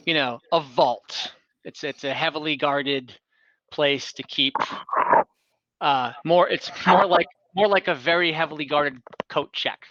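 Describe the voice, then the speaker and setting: A man's voice: fairly high pitch, pushed and bright, no room sound, lo-fi sound. A man narrating an improvised tabletop game casually as game master, thinking aloud and correcting himself, on a close mic over an online call.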